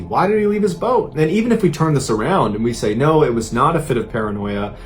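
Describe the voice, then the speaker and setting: A man with an American accent telling a true-crime mystery in an earnest, emphatic, suspenseful storytelling style. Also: mid-range voice. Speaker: storyteller host of a mystery series. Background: background music under the voice.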